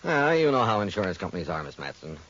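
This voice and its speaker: A man's voice: low-pitched, big pitch swings, quick pace, fairly bright tone, full character voice. An actor playing a persuasive male insurance adjuster in a 1940s radio drama, with a dull old radio sound.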